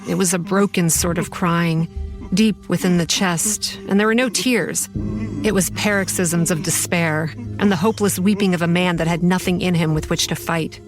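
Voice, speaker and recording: A woman's voice: fairly low pitch, steady tone, normal speed, over music, close to an everyday voice. A woman playing a haunted, sardonic young campground manager, telling her horror story in a confiding, wry first person. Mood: somber.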